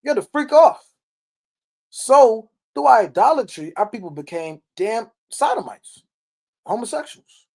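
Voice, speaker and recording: A man's voice: very high-pitched, sing-song, unhurried, creaky, darkish tone. A man giving read-along Bible teaching, reading verses and explaining them in informal, emphatic speech peppered with check-in tag questions. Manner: emphatic, frequent tag questions like "right?" and "okay?"; colloquial, informal preaching.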